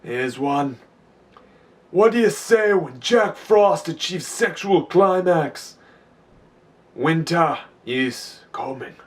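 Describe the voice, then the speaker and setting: A man playing an earnest medieval war leader in a fantasy parody, speaking deliberately to his troops. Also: fairly high pitch, expressive. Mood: earnest, solemn.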